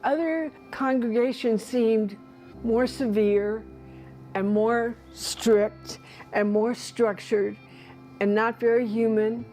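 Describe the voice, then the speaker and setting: A woman's voice: fairly high pitch, fairly even intonation, takes it slowly, dark tone, faint music behind. A woman, a nun, reflecting earnestly on why she chose her order. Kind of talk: oral history interview.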